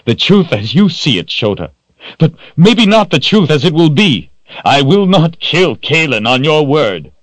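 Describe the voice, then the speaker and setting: A man's voice: a little high, big pitch swings, slow pace, pauses often, no echo, pitched above the performer's own voice, heavily acted, low-quality recording. A man voicing an emotional, stubborn young hero in a fantasy audiobook. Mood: defiant.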